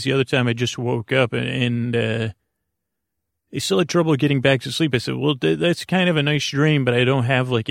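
A man hosting a podcast in a rambling, whimsical bedtime monologue, halting and stammering, trailing off mid-thought with deadpan, absurd asides. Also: mid-range voice, creaky, no echo, clean studio sound. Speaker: podcast host.

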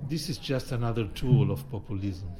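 A man explaining things reflectively in a discussion, measured and emphatic. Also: lowish voice, music in the background. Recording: clear background ambient noise.

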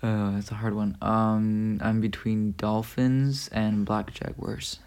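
A young man from Spain answering interview questions in a casual, joking way, often pausing with fillers. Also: lowish voice, level tone, darkish tone, clear, resonant tone. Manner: laid-back, joking, hesitant with fillers. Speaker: guest interviewee. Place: indoors at a family home.

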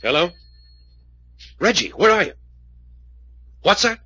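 An actor playing a hard-driving, blunt detective in a 1940s radio serial, commanding and urgent. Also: male voice, high-pitched, very animated, slow, noisy background, exaggerated voice. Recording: muffled old-time radio recording.

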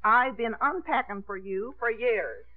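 An actress playing a fussing, familiar longtime housemaid in 1950s radio comedy style. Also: female voice, fairly high pitch, animated, measured pace. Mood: puzzled, fussing. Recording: tinny, muffled 1950s radio broadcast.

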